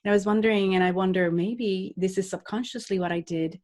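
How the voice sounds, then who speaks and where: A woman's voice: mid-range voice, steady tone, a little fast, darkish tone. A woman, a life coach talking earnestly and reflectively as a podcast guest. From Puerto Rico. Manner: earnest, reflective, with frequent restarts and laughs. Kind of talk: podcast interview.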